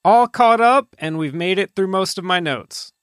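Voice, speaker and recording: A man's voice: high-pitched, lively intonation, moderate pace, a bit bright, clean tone, dry recording, clean studio sound. A man co-hosting a podcast in casual chat, with a wry, teasing way of talking. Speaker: podcast co-host.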